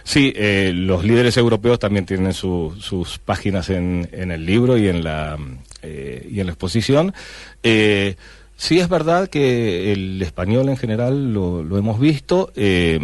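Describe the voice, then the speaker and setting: A man's voice: lowish voice, a little mellow, no room sound. A middle-aged man from Argentina, a cartoonist guest answering radio interview questions with many fillers and restarts.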